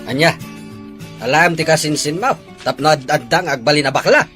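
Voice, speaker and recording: A man acting a stern, scornful farmer father with a gruff, scolding manner in a comedy drama. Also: a little high, brisk, sharp tone, faint music behind.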